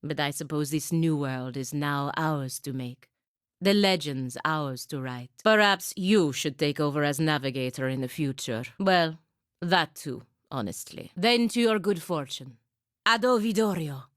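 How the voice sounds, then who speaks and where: A woman's voice: deep voice, expressive, unhurried, no echo. An actress playing a stern, dutiful and proud paladin woman in a video game, with a dry, sardonic and commanding manner. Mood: wistful, reflective. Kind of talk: video game character dialogue.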